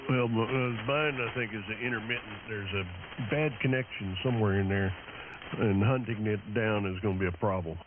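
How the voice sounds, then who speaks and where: A man's voice: lowish voice, fairly even intonation, moderate pace. A man, an amateur radio operator, in casual technical chat over the radio. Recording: noisy, narrow-band shortwave radio transmission.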